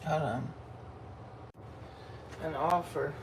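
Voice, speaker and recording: A woman's voice: low voice, very slow. Texan woman rambling while cooking. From Texas. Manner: rambling and frank, talks while eating and cooking. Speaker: food vlogger. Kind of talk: casual live-stream chat while cooking. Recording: roomy, distant from the mic, noisy.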